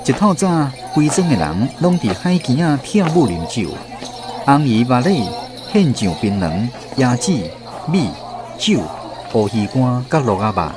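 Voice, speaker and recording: A medium-pitched man with a Taiwanese accent narrates a radio drama. His storytelling is expressive and very lively in intonation, with music underneath. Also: unhurried.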